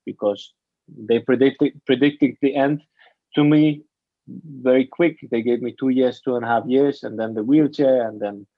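A man who grew up in Afghanistan and Germany, a mental training coach telling his story haltingly in an interview, often restarting his sentences. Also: mid-range voice, dry recording, lo-fi sound. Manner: halting, searching for words, with many restarts. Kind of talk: casual interview talk.

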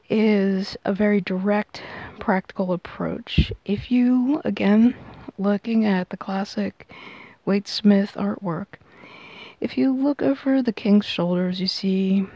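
A woman hosts a tarot reading in a gravelly, mellow voice at a middling pitch. She explains things in measured, unscripted phrases. The sound is close and dry, with very little room to it. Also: no echo, rough recording. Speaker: tarot reader and podcast host.

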